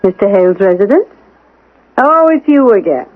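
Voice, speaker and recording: Actress as a brisk, bossy housekeeper on old-time radio. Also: female voice, medium pitch, big pitch swings, a little hiss or hum, close to an everyday voice. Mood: scolding, indignant. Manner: firm, crisp, admonishing. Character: brisk, bossy, no-nonsense housekeeper to an anxious gentleman. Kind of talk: radio drama acting. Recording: tinny band-limited old radio broadcast.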